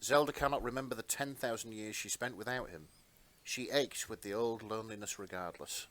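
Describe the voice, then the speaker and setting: Casting: male narrator, lowish pitch, expressive and sentimental, reading fan fiction aloud. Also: fairly quick. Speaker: narrator, podcast host.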